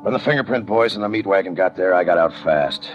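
An actor playing a tough, world-weary homicide lieutenant with a terse, dryly sardonic delivery in a 1950s radio detective drama. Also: male voice, sits low, fast talker, lightly acted. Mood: weary narration. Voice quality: hard-boiled, terse. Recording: dull vintage 1950 radio recording. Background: music.

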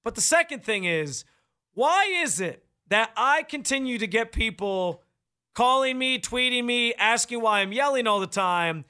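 Loud, bright-voiced sports radio man. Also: extremely high pitch, lively intonation, a little slow, smooth. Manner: loud, projecting delivery; fired-up, opinionated. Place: radio studio. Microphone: studio microphone, close.

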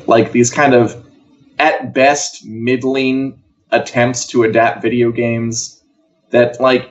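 A man's voice: medium pitch, dull audio. A man co-hosting an entertainment chat show, talking casually and wryly with offhand asides. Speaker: co-host of an entertainment news live show. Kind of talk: casual opinionated chat about TV and games.

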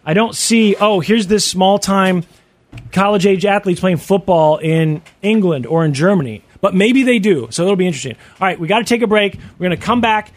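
A man's voice: high voice, brisk. A man hosting a talk radio show, chatting casually and upbeat with his co-hosts.

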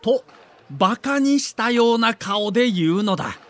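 A man's voice: extremely high pitch, animated, a little slow, a bit bright. A man giving dramatic scripted narration for an audio documentary.

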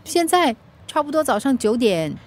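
An actress playing a caring, level-headed friend in a radio drama. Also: female voice, sits high, very animated, moderate pace, a little mellow. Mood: concerned, reassuring. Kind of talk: Mandarin radio drama acting.

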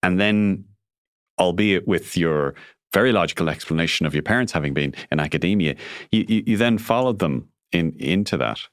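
A man's voice: sits low, animated, moderate pace, dry recording, clean studio sound. A man hosting a podcast and interviewing a guest in a conversational, presenterly way. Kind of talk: scripted intro and conversational interview.

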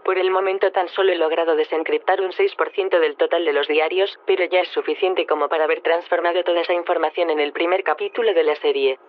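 An actor voicing a polite artificial intelligence that speaks formally and precisely over a narrow phone line. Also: male voice, on the high side, steady tone, fast, hardly any pauses, natural voice. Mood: courteous, matter-of-fact. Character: polite artificial intelligence show creator with female name. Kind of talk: scripted interview answers in audio fiction. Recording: band-limited radio or telephone line.

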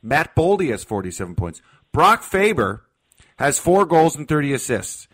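A man's voice: on the high side, very animated, moderate pace, a bit bright. An American man hosting sports talk radio, giving his opinions emphatically and repeating key phrases to drive them home.

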